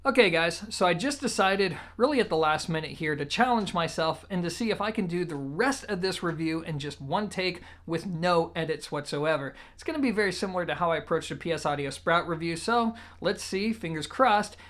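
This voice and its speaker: Informal, methodical gear reviewer, mid-pitched voice. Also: brisk, few pauses, fairly bright tone. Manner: explanatory, methodical, informal asides. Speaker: product reviewer, show host. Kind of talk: conversational audio gear review to camera.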